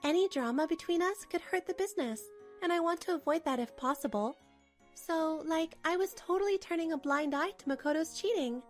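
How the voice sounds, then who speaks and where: A woman's voice: very high voice, fairly quick, faint music behind. A woman narrating a manga dub as the wronged wife, in a chatty, dramatic inner monologue.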